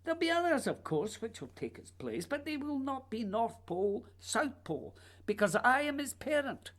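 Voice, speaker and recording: An actor playing an intense, whimsical playwright in a radio play, theatrical and emphatic, with a husky voice. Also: male voice, very high-pitched, very animated, normal speed, a bit bright, heavily acted. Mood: insistent. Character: intense, whimsical Scottish playwright, insistent and dreamy. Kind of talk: theatrical radio drama acting.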